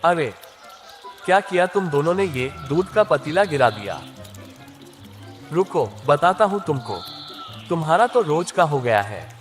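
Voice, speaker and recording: A man voicing a simple, hardworking village farmer and husband in an animated moral story, with expressive, plain-spoken delivery. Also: fairly high pitch, a little slow, long pauses, faint music behind. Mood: scolding.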